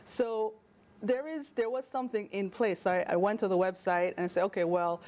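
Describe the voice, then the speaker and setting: A woman in her thirties with a Jamaican accent, a travel journalist talking as a guest in a TV studio interview, animated and chatty with fillers and restarts. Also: medium pitch, normal speed. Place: TV news studio. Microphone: lapel mic.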